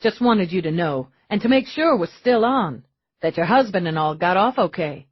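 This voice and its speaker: A woman's voice: lowish voice, expressive, normal speed, breathy edge, dry recording. A woman voicing a sly, boyish young man who is charming and ingratiating, in a dull lo-fi audiobook recording. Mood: eager.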